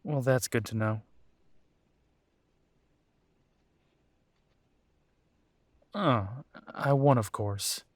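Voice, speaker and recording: A man's voice: mid-range voice, unhurried, no room sound, studio quality, natural voice. A man playing a young, protective and determined werewolf in an audio roleplay, speaking earnestly. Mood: teasing, fond.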